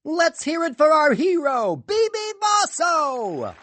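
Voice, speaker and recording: A man voicing an excited boy and girl in a squeaky, high, bouncy voice. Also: slow pace, dry recording, heavily acted.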